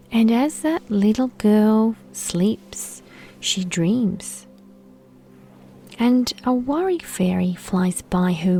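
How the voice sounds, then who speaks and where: A woman speaking in a soft, breathy half-whisper, soothing and gentle, guiding children through a sleep meditation and bedtime story with lulling pauses. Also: medium pitch, a little hiss or hum. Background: soft background music.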